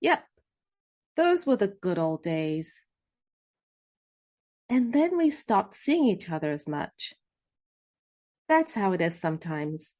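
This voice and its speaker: A woman narrating a children's storybook, reading expressively with drawn-out emphasis and dramatic pauses. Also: on the low side, sing-song, darkish tone. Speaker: read-aloud host narrating children's books. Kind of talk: scripted children's storybook narration in first person.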